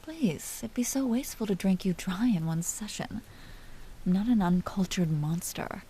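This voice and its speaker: A woman playing an aristocratic vampire duchess in a roleplay audio drama, commanding and teasing yet secretly tender, often hushed and confiding. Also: medium pitch, expressive, normal speed, a little hiss or hum, lightly acted. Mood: teasing, possessive.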